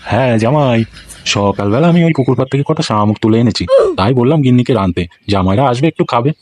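A man's voice: medium pitch, fast, a little mellow, a little hiss or hum, natural voice. A man voicing a wary, grumbling old grandfather in a comedy cartoon, speaking colloquially. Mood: eager, matter-of-fact. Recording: background music under dialogue.

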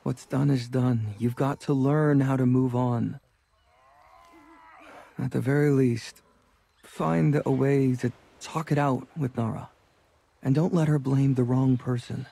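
An actor playing a blunt, pragmatic, wary adventurer with a gravelly, husky voice in a video game. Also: male voice, medium pitch, fairly even intonation, takes it slowly, pauses often, dark tone, no room sound, full character voice. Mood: firm. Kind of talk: video game dialogue acting.

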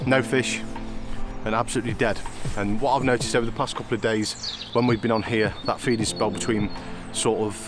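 A man's voice: fairly low pitch, steady tone, brisk, music in the background. A man narrating his own fishing trip outdoors by a drain, chatty and easygoing, often repeating himself. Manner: chatty, repeats "it really does". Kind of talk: casual outdoor vlog narration. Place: outdoors on a fenland drain bank.

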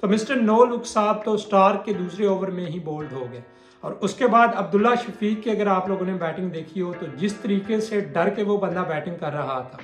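A young man delivers a solo cricket breakdown, blunt and sarcastic in tone. His voice sits on the high side for a man. The small room rings with echo, and faint music plays underneath. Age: young adult. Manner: blunt, mocking critique. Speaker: cricket commentator vlogger. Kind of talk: solo cricket analysis to camera. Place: small bare room indoors.

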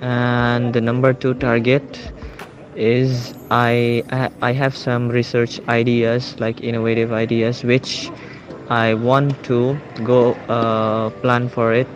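A man, a master's student, answering in halting English with many restarts. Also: mid-range voice, flat delivery, music in the background. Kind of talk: interview answer. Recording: dull audio with street noise.

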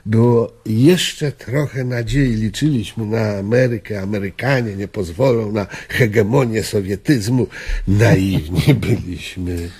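An actor playing a reflective, disillusioned old gentleman in a radio drama, measured, earnest and world-weary. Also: fairly low pitch, breathy edge. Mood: reminiscing. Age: older.

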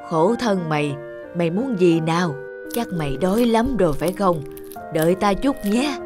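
A woman playing a kindly, compassionate elderly grandmother in an animated tale, gentle and caring. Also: lowish voice, moderate pace, over music, close to an everyday voice. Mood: caring, curious. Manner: gentle, caring, musing aloud. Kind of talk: animated moral tale dubbing.

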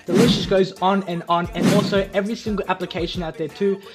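A man's voice: high-pitched, flat delivery, fast, over loud music. A young man hosting a casual tutorial in a chatty, eager, repetitive way. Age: young adult. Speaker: YouTube tutorial host.

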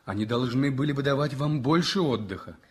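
An actor playing a gentle, lonely hospital patient in a 1960s radio drama, courteous and tentative with the woman he admires. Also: mid-range voice, lively intonation, a little fast, slightly rough. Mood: concerned, tender. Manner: courteous, tentative, soft-hearted. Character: gentle, lonely male patient, smitten journalist.

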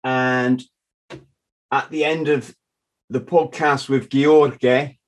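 A man's voice: mid-range voice, level tone, very slow. A middle-aged man hosting a podcast over a video call from a home office, chatty and self-deprecating, laughing easily. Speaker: podcast host. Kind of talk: casual interview chat. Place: home office with a wall map. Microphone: video call webcam.

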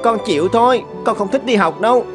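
Respectful young son for an animated fable, voiced by a woman: earnest delivery over noticeable music. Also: on the high side, brisk, close to an everyday voice. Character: polite, earnest young son in folk tale. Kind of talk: animated folk tale acting.

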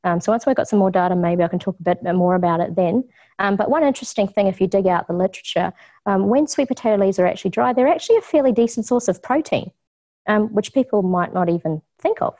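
A woman's voice: medium pitch, animated, fast, warm tone. A middle-aged woman with a New Zealand accent, giving a casual community talk on food science with lots of ums, standing in an office kitchen. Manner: chatty, frequent ums, laughs easily.

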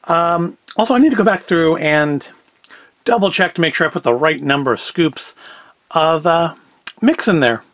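Higher-voiced wry man. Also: moderate pace, fairly bright tone, no echo. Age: 40s. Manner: wry, self-deprecating, rambling. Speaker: food review vlogger. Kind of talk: casual review chat to camera.

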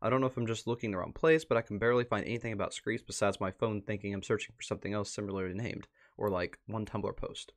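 A man's voice: lowish voice, steady tone, quick pace, hardly any pauses. A man hosting a casual livestream, chatting and muttering asides to himself as he goes through posts at his computer. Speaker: livestream host. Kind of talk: casual livestream commentary, reading posts aloud. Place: indoors at a computer.

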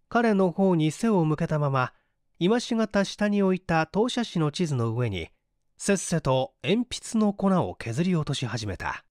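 A man reading a mystery story as a scripted dramatic narration, with deliberate theatrical storytelling. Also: on the high side, darkish tone, no echo, clean studio sound. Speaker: audiobook narrator, stage performer.

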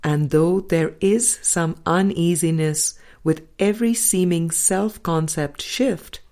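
Slow, low-pitched woman reading an audiobook. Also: mellow. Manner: measured, deliberate, pausing between phrases. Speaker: audiobook reader. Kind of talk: scripted audiobook reading of spiritual teaching.

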